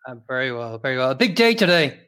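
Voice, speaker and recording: A man hosting an interview over a video call, measured and formal with many hesitations. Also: mid-range voice, very animated, pushed and bright, clean tone. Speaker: host.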